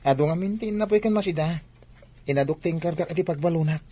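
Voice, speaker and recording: A man's voice: on the high side, fast, mellow, slight background noise, lightly acted. A man with an Ilocano Filipino accent playing an earnest young student athlete in an audio drama. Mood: friendly, casual. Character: earnest young male student athlete devoted to his mother. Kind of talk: amateur audio drama acting.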